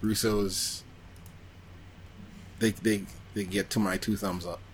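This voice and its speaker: A man co-hosting a podcast in casual chat about films, talking in a jokey, slangy, animated way. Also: fairly low pitch, slow, slight background noise. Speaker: podcast co-host.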